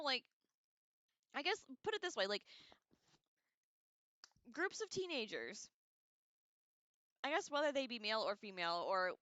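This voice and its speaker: A woman's voice: on the high side, bright, forceful tone, dry recording. A woman hosting a casual, rambling livestream discussion with an irreverent, slangy manner, on a slightly dull microphone. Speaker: livestream host.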